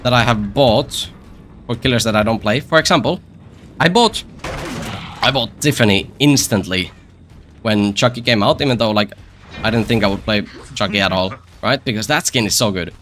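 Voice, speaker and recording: A man's voice: lowish voice, moderate pace, fairly bright tone, slight background noise. A man giving casual gameplay commentary as a streamer, rambling and repeating phrases with self-deprecating, joking asides. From Finland. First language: Finnish. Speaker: game streamer.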